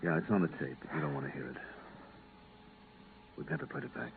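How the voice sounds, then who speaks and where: Low-voiced, embittered spaceman. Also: sing-song, fairly quick, creaky, exaggerated voice. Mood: grim. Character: embittered veteran spaceman, grim, resigned, stubborn. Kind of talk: 1950s radio drama acting. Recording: muffled, noisy vintage radio recording.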